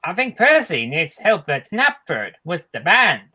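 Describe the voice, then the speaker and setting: Man voicing a helpful high-pitched male helicopter. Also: huge pitch swings, measured pace, pushed and bright, husky, exaggerated voice. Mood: helpful, upbeat.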